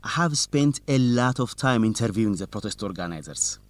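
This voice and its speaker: A man's voice: lowish voice, expressive, brisk, dry recording, lightly acted. A man playing an earnest, confident young student reporter in an educational radio drama. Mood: earnest, reassuring.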